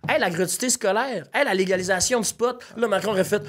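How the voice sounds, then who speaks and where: Animated swearing Québécois young man. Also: high voice, fast, a crowd in the background. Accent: Québécois French. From Quebec. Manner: animated, swears freely while ranting. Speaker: podcast guest. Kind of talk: casual interview chat. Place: studio.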